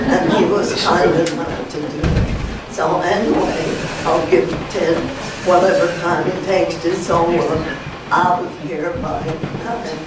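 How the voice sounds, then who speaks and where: An older woman introducing a guest speaker in a small meeting room, halting and rambling. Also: on the low side, steady tone. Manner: halting, full of "anyway". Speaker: event host introducing the speaker. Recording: echoey room, distant camera mic, hiss.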